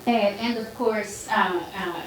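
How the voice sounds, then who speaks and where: A woman with an Israeli accent lectures slowly from a podium, pausing often on fillers. Her voice rings in an echoey hall. A faint room hum sits beneath her. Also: mid-range voice. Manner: halting, many fillers. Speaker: guest speaker, professor. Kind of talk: public lecture.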